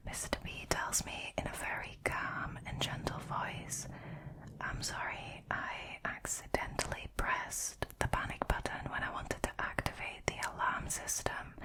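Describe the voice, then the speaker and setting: Woman whispering a story asmr style, really slow and deliberate. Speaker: narrator reading online stories.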